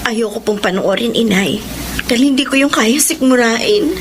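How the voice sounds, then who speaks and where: Woman playing a high-voiced, worried caring young woman. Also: normal speed, over music, lightly acted. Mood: pained, gentle. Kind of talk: Filipino radio drama acting. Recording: clear background noise.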